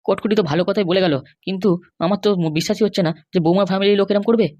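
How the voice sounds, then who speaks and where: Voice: mid-range voice, fairly even intonation, very fast, darkish tone, dry recording, close to an everyday voice. A performer voicing a compliant but grumbling, worried Bengali husband and father in a comedy cartoon, with a placating, hesitant manner. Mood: doubtful. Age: middle-aged. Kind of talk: animated comedy cartoon dialogue.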